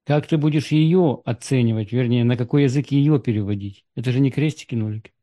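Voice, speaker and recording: A man hosting a conversation, asking calm, probing questions. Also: medium pitch, a little fast, dry recording. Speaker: interviewer, host. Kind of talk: casual conversation.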